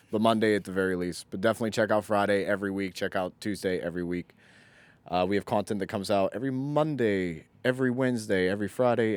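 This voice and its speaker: A man's voice: lowish voice, smooth, no echo. A man speaking casually as the co-host of a conversational podcast.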